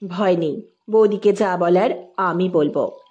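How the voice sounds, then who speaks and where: A woman voicing a gentle, troubled married man, an office boss who talks softly and hesitantly, in an audio story. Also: on the low side, measured pace, highs cut off, pitched below the performer's own voice, natural voice. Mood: subdued, concerned. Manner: soft-spoken, hesitant.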